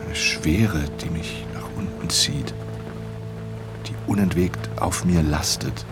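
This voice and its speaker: An actor playing a brooding, depressed man in an audio drama, speaking in a halting, introspective way. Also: low voice, over music. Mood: heavy, melancholic. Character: brooding, depressed man stranded in the wilderness, prone to agitation. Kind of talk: German audio drama acting.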